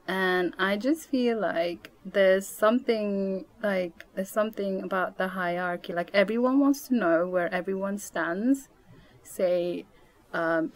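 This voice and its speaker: A woman in her twenties from Nepal, talking casually as a podcast guest with many fillers and frequent laughs. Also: fairly low pitch. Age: 20s. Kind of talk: casual interview chat.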